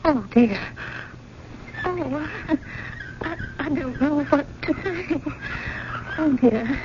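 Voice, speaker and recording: A proud, sentimental elderly widow speaks in a rather high, ladylike voice. She chatters and falters, repeating herself in a fluttery way. It is an old radio play with a dull sound and clear background noise. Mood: tearful, flustered. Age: older. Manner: chatty, hesitant, halting repetitions, ladylike. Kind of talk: 1980 American radio drama acting.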